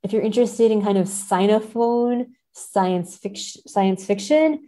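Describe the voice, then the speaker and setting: A woman's voice: medium pitch, measured pace, mellow. A woman speaking as an academic guest in a casual podcast interview.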